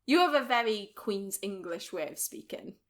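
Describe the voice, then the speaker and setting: A woman's voice: medium pitch, expressive, moderate pace. A woman with a mild Welsh accent, co-hosting a casual podcast and talking in a chatty, laughing way full of "like" fillers. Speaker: podcast co-host. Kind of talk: casual chat.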